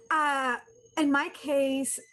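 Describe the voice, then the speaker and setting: A woman in her thirties with a high, light voice speaks over a video call. She chats casually on a panel, laughing easily and correcting herself mid-sentence. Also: a bit bright, rough recording. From Dominican Republic. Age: 30s. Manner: chatty, laughs often, self-correcting. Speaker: panelist artist.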